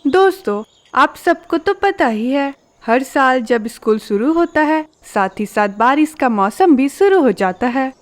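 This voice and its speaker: High-pitched woman narrating a children's story. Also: lively intonation, measured pace.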